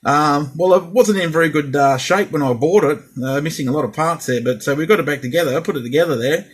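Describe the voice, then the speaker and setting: Husky man chatting on CB radio. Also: fairly high pitch, fast talker. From Australia. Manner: hesitant, repeats himself. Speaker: amateur CB radio operator. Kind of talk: casual radio chat, testing a set.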